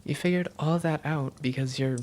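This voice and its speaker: A woman's voice: low voice, normal speed, a little mellow, slight background noise, lightly acted. A woman playing an affectionate, teasing young vampire girlfriend in an intimate roleplay audio, playful and tender with her partner. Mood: tender, soothing.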